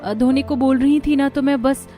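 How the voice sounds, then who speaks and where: A woman plays a dutiful young daughter-in-law in a cartoon. She sounds polite and put-upon, in a fairly high voice over faint music. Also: steady tone, moderate pace, mellow, lightly acted.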